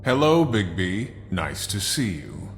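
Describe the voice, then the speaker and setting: Game casting: smug enchanted mirror, lowish voice with grand swoops, prim, deliberate, music behind. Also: male voice, sing-song, heavily acted. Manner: theatrical, deliberate, speaks in rhyme. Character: pompous, prim magic mirror, teasingly aloof. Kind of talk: video game voice acting.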